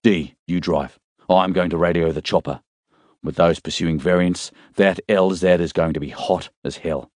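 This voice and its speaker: A man voicing a steady military leader in an audiobook, speaking in a clipped, commanding way. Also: low-pitched, moderate pace, no room sound, close to an everyday voice. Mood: brisk command. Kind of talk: audiobook character dialogue.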